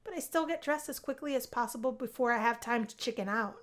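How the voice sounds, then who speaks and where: A woman plays a shy young college heroine from a visual novel. Her voice is high and bright, anxious and quick to get flustered. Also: close to an everyday voice. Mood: nervous resolve. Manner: flustered, stammering when upset.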